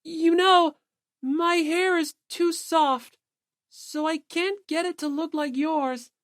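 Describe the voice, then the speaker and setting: High-voiced, timid teenage boy. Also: steady tone, slow, fairly bright tone, no room sound, clean studio sound, full character voice. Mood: shy admiring. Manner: hesitant, apologetic. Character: shy, timid, soft-spoken teenage boy, easily hurt. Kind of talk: audiobook character acting.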